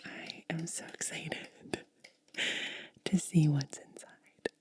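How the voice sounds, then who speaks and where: A woman hosting ASMR, speaking softly in a breathy, hushed whisper and lingering over short phrases. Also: deep voice, fairly even intonation, slow. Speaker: ASMR video host. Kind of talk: soft-spoken ASMR.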